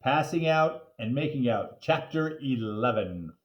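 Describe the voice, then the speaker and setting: A man's voice: medium pitch, lively intonation, measured pace. A man reading a children's storybook aloud, with casual asides and simple explanations for young listeners. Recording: echoey, roomy sound.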